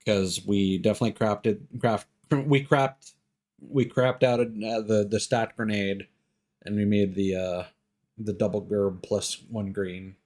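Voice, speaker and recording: A man's voice: lowish voice, steady tone, a little slow, darkish tone. A man doing casual live game commentary, rambling and thinking aloud as he plays. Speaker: game streamer.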